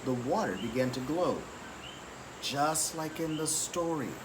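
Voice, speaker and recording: A man reading a children's picture book aloud in an expressive, deliberate storytelling manner. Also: on the high side. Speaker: story reader. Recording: clearly noisy background.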